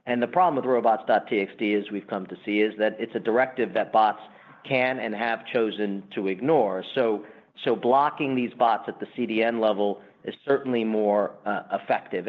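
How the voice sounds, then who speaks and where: A man speaking as a company executive, explaining the business emphatically and semi-formally in answers on an earnings call. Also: mid-range voice. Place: conference call. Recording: muffled, band-limited conference call line.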